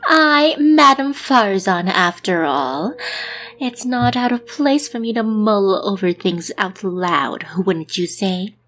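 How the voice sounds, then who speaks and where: A woman's voice: mid-range voice, animated, takes it slowly, fairly bright tone. A woman voicing a proud, irritable female scholar in a fan-dubbed anime game, with a haughty, theatrical manner. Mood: haughty, irritated. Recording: background music.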